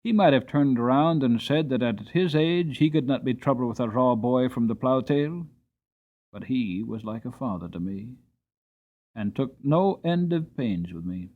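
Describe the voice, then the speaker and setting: A man's voice: mid-range voice, lively intonation, moderate pace, close to an everyday voice. A man voicing a kind, hardworking coachman who talks plainly and earnestly, on a tinny narrowband recording.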